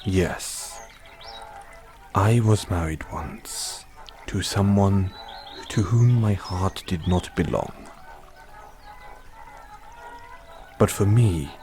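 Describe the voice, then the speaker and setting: A man with a British accent playing a formal, devoted butler who gently chides his young master in an audio roleplay. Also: low-pitched, soft background music. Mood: gentle, apologetic. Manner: formal, measured, deferential. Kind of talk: scripted roleplay, intimate second-person address.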